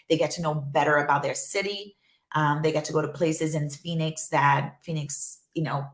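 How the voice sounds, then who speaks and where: A low-voiced woman from Brazil, a native Portuguese speaker, talks through an online interview in a chatty, animated way, full of ums and restarts. Her voice sits deep and carries the echo of a bare room. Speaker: guest, journalism professor. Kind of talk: casual interview chat. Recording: echoey room.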